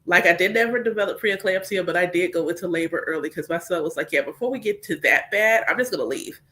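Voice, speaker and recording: A woman's voice: on the low side, fast, a bit bright, slight background noise. A woman and spiritual coach, congested, chatting casually and directly to her viewers about her own experience. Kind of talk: casual chat.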